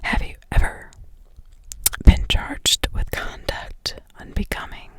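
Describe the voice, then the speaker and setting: A woman's voice: slow pace, a bit bright. Formal whispering prosecutor read by a woman. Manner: formal, emphatic questioning. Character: formal, courteous male prosecuting attorney.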